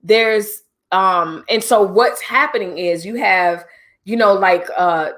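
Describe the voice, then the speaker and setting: A woman's voice: mid-range voice, takes it slowly, a bit bright. A middle-aged woman hosting a livestream, talking casually and directly to her viewers with preachy asides. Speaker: livestream host.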